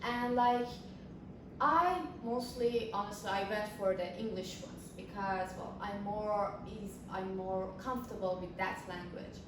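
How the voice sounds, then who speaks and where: A woman's voice: mid-range voice, measured pace, far from the mic. Woman from Iran, talkative, repeats words, very echoey room, noisy. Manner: talkative, repeats herself when searching for words. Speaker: international student. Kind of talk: casual chat.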